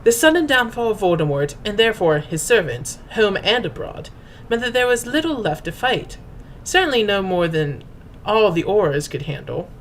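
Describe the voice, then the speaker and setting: A woman's voice: mid-range voice, big pitch swings, normal speed, few pauses, slight background noise, rough recording. A woman host reading aloud as a dramatic narrator, in a pompous, mock-grand and formal manner. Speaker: host and reader. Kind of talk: theatrical dramatic reading of fan fiction.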